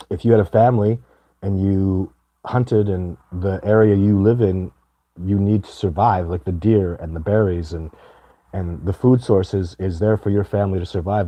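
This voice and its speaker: A middle-aged man with a sore, raspy throat and a very low, dark voice talks through a live Q&A. He rambles and restarts often, pressing his points hard. The sound is close and dry, with no room around it. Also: no echo, rough recording. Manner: rambling, emphatic, many false starts. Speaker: livestream host answering viewer questions. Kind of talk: casual live chat.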